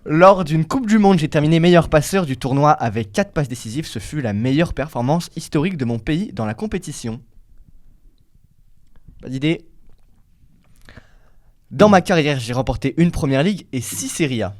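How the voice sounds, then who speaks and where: A man's voice: on the high side, lively intonation, moderate pace, pauses often, darkish tone. A young man hosting a casual football quiz among friends, reading clues aloud and tripping over his words. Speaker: quiz host.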